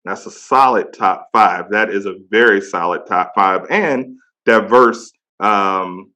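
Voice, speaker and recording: A man in his thirties with a deep voice, hosting a casual interview and praising his guest with slang. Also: slow pace, pushed and bright. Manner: enthusiastic, slang-heavy praise. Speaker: podcast host.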